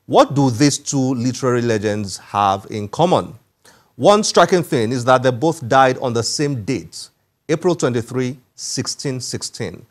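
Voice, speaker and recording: A man presenting a book programme on television, reading scripted narration in a measured, formal way. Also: mid-range voice, expressive. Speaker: TV book show host.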